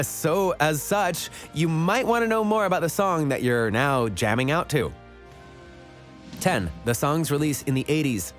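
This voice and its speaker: A man reading scripted narration for an online countdown list video. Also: on the high side.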